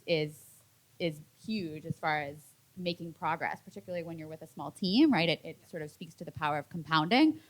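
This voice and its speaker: A woman's voice: deep voice, vocal fry, a bit bright. A woman speaking thoughtfully on a public panel, building long sentences with frequent restarts. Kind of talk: panel discussion.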